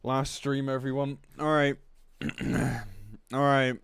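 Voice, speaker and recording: A man's voice: medium pitch, completely flat delivery, takes it slowly, a bit bright, clear, resonant tone, dry recording, studio quality. A man live-streaming in a casual, blunt, exasperated way, commenting between bits of reading aloud and swearing freely.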